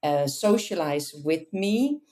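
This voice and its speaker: A woman from the Netherlands chats as a podcast guest. Her voice sits a little low, and she spins animated, self-amused anecdotes. It is a casual, conversational interview. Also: slow.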